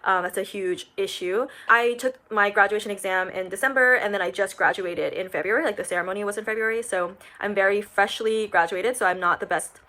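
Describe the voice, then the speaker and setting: Fast-talking young woman. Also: mid-range voice, pushed and bright. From United States. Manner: chatty, many fillers like "um" and "like". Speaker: recent graduate. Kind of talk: casual talk to camera.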